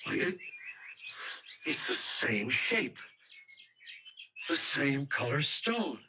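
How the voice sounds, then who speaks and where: A man's voice: mid-range voice, sing-song, drawn-out words. An actor playing an earnest, alarmed young man in a radio drama. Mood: urgent, alarmed. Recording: noisy old radio recording, off-mic.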